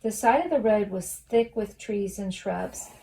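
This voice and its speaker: Woman reading a kids book out loud, soft mellow tone, medium pitch, expressive. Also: measured pace, creaky, low-quality recording. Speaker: teacher reading a children's novel aloud. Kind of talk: expressive storybook narration.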